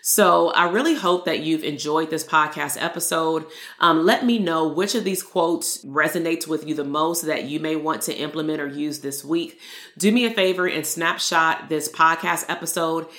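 A woman's voice: low voice, normal speed, reverberant. A woman hosting a solo motivational podcast as a therapist coach, talking earnestly and repeating key lines for emphasis. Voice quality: loud voice.

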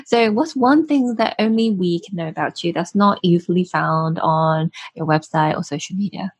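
Female podcast host, affectionate and chatty, husky voice. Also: on the low side, moderate pace. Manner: gushing, affectionate, laughs often. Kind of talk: warm podcast interview.

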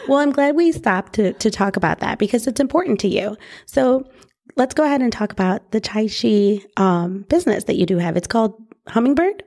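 A woman's voice: a little high, animated, a little fast, a little mellow. A woman hosting a podcast interview in an upbeat, friendly way. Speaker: podcast host.

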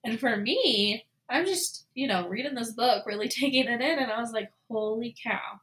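A woman in her twenties hosting a solo podcast, talking casually with lots of fillers and animated asides. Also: on the high side, moderate pace. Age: 20s. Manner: chatty, frequent "like" and "you know" fillers; animated, voices her own past thoughts. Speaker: podcast host.

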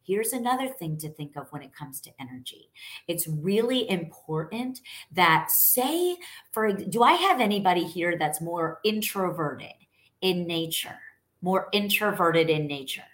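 Loud, energetic New woman coach. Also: on the low side, unhurried. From New York. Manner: loud, energetic, emphatic, asks the audience questions. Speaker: sales coach. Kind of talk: live-stream coaching talk.

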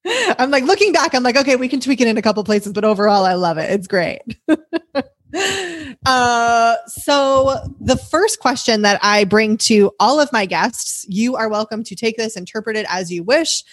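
A woman's voice: a little high, expressive, moderate pace, dry recording. A woman hosting a podcast, chatting casually with a guest and laughing often. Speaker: podcast host. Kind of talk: casual interview chat.

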